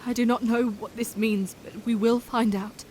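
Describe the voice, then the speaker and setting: A woman's voice: a little high, fairly even intonation, normal speed, darkish tone, natural voice, rough recording. A woman playing an earnest, anxious young woman. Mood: soothing. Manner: earnest, caring, nervous stammer. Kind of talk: audio drama acting and diary narration.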